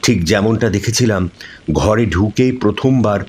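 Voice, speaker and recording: Male narrator, low pitch, scripted first-person horror storytelling, dramatic delivery, faint background ambience. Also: normal speed, a little mellow, slight background noise.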